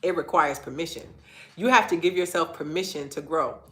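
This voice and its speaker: Congested woman, bright, lowish voice. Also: a little fast. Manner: earnest, repeats phrases for emphasis. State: congested, stuffy nose. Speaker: host of a live online talk. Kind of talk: inspirational faith-based livestream talk.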